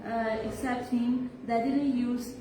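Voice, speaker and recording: A teenage girl student giving her view in a classroom discussion, speaking haltingly with many fillers. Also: a little high, fairly even intonation, dark tone. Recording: echoey room from far off, clear background noise.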